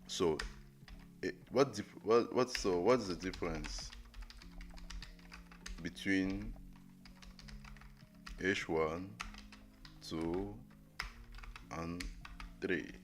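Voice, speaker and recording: A young man live-streaming a coding lesson, thinking aloud in a halting way with many fillers and restarts. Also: sits low. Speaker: coding livestreamer. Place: indoor room at a desk. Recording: music playing under the voice. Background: music playing and keyboard typing.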